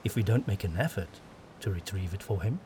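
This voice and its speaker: A man's voice: low voice, animated, a little fast, mellow, slight background noise. A man voicing a young witch hunter who speaks earnestly and humbly.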